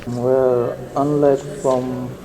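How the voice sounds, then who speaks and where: A young man answering interview questions in slow, simple broken English. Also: mid-range voice, level tone, mellow, slight background noise, rough recording. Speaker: student interviewee.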